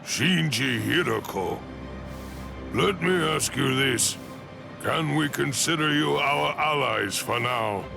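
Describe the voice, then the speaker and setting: A man's voice: medium pitch, expressive, takes it slowly, exaggerated voice. A man playing a stern, serious captain in an anime game, speaking in a terse, grave and formal manner. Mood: grave, questioning. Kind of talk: anime game cutscene dialogue. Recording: dialogue over clear background music.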